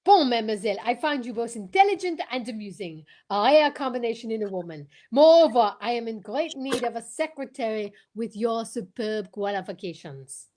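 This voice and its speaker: A woman's voice: a little high, expressive, brisk, hardly any pauses, a little mellow, close to an everyday voice. A woman playing a courteous, shrewd foreign male detective in an old-time radio drama, speaking formally with French words sprinkled in. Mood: courteous, hesitant.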